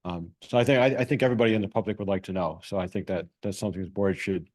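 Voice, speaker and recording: Resident guy at a township meeting podium, lowish voice, dry sound, kinda hesitant with lots of ums. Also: steady tone, very fast, a little mellow, no room sound. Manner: hesitant, many ums and restarts. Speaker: resident giving public comment. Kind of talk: public comment at a meeting.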